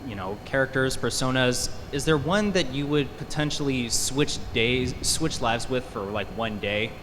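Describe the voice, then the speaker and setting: A man's voice: mid-range voice, lively intonation, moderate pace. A man in the audience casually asking a question at a panel. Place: convention panel room, audience microphone. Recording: echoey noisy hall with crowd sounds.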